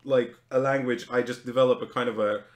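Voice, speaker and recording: A man's voice: medium pitch, steady tone, a little fast, echoey room. A man from Lithuania, a native Lithuanian speaker, co-hosting a casual livestream chat and telling rambling, self-deprecating stories. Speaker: co-host.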